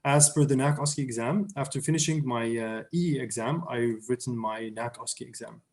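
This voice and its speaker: A young man from Syria answers questions as an interview guest. His voice sits in an ordinary middle pitch. He talks casually over a video call, full of fillers and restarts. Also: brisk, darkish tone. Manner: hesitant, many fillers and restarts. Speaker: interview guest, surgery resident.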